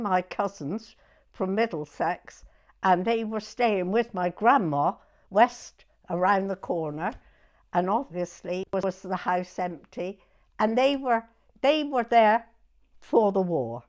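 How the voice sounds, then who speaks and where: A woman in her nineties with a southern English accent, reminiscing in an interview in a halting way, pausing to recollect. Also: mid-range voice. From southern England. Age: 90s. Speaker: oral history interviewee.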